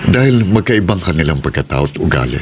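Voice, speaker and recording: Very deep-voiced, reflective family man. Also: very animated, noisy background. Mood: reflective, calm. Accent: Filipino. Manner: measured, reflective. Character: calm, forgiving, reflective older family man. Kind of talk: radio drama acting.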